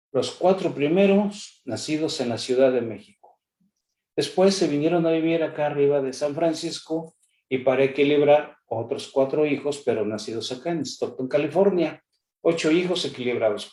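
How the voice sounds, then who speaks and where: An older man with a Mexican accent delivers a conference lecture in a mellow, warm voice. He keeps it animated, throwing out rhetorical questions and talking straight at an imagined lawmaker. The recording has a roomy echo. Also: fairly high pitch. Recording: echoey room.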